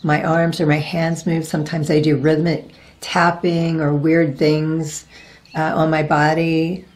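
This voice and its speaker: A woman in her forties with a slightly raspy voice talks casually to camera as a reiki practitioner, rambling and often pausing to search for words. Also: low voice, flat delivery, a little mellow. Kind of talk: casual spiritual vlog talk. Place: indoors, virtual blossom backdrop.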